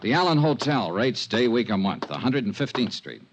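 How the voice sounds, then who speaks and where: A man's voice: medium pitch, sing-song, moderate pace, noisy background, natural voice. An actor playing a cool, skeptical male insurance investigator in a 1950s radio drama, clipped and matter-of-fact. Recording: muffled old radio broadcast.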